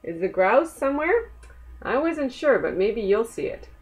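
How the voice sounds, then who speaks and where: A woman reading a children's book aloud as a storyteller, gently inviting young listeners along with questions. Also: fairly high pitch, big pitch swings, unhurried.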